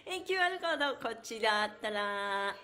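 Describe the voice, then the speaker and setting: A woman's voice: a little high, lively intonation, brisk, pushed and bright, echoey room. A middle-aged woman leading a live-streamed stretching class in a cheerful, exuberant, encouraging manner. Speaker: stretching instructor and host. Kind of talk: live-streamed exercise instruction with counting chants and chat. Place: small bare-walled indoor studio room.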